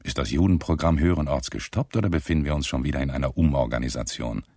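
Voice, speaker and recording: Very deep-voiced, aggrieved SS sergeant. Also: male voice, fast talker, darkish tone, no echo, studio quality. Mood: indignant, griping. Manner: complaining, officious, self-pitying. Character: aggrieved low-ranking male SS sergeant, petty, callous camp functionary. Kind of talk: diary monologue in German radio drama.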